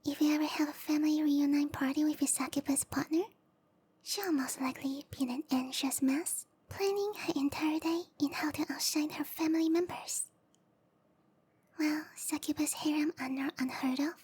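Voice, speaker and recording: High, whispering seductive matchmaker played by a woman. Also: steady tone, moderate pace, darkish tone, a little hiss or hum, lightly acted. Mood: teasing, informative. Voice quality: breathy whisper. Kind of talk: whispered ASMR roleplay.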